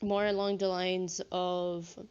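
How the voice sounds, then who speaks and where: A woman's voice: lowish voice, level tone, slow, darkish tone, smooth. A woman game streamer chatting casually in a rambling, sweary storytelling manner. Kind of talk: casual chat. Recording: dull lo-fi mic.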